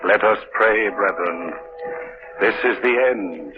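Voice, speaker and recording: Grave doomsday preacher, solemn and intoning, old radio drama, tinny lo-fi recording. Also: male voice, lowish voice, slow pace, noisy background. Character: doom-preaching male street preacher. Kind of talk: 1940s radio acting.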